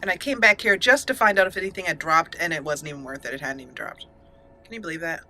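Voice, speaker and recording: A woman's voice: on the low side, quick pace, pushed and bright. A woman hosting a let's play, chatting playfully through her gameplay, laughing often and reading game text aloud. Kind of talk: gameplay commentary.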